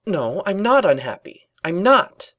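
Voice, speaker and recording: Man putting on a high, agitated paranoid female pony. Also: big pitch swings, drawn-out words, fairly bright tone, dry recording, studio quality, exaggerated voice. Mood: defensive. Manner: urgent, conspiratorial, melodramatic. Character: paranoid, agitated female pony office worker, secretly rebellious.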